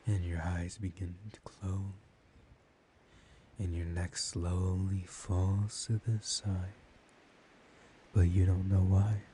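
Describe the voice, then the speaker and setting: A man playing a dominant, menacing, seductive vampire in a soft-spoken ASMR roleplay, speaking in a hushed, deliberate way that slips into whispers. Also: low voice, little pitch movement. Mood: hypnotic, commanding. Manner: slow, hushed, lapsing into breathy whispers, deliberate pauses. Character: dominant, menacing, seductive male vampire hypnotizing his prey. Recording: audible background hiss.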